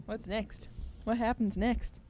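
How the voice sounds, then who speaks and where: Young woman cohost, very mellow dark tone, short low-key casual replies. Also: steady tone, takes it slowly, a little hiss or hum. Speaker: radio co-host. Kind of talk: casual chat.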